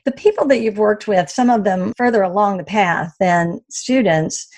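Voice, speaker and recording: A woman's voice: medium pitch, moderate pace, darkish tone, dry recording. A woman from West Virginia with a light Southern US accent, hosting an interview in a conversational style. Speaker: interviewer, university entrepreneurship program leader.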